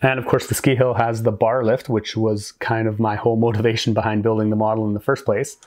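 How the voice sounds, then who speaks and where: A man in his forties hosting a hobby video, giving a scripted, clearly structured explanation in an upbeat way. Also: mid-range voice, darkish tone. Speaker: host of a brick-building channel.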